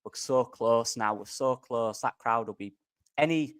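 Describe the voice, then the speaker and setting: A man's voice: medium pitch, a little slow, smooth, no echo, clean studio sound. A man joining a casual football chat as a guest, earnest and emphatic, repeating his points. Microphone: remote stream call.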